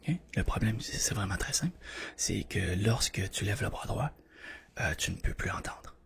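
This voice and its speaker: A man doing a hushed ASMR doctor roleplay, guiding the listener patiently and reassuringly. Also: on the low side, lively intonation, moderate pace, gravelly. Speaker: ASMR creator playing a doctor. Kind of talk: whispered ASMR medical roleplay.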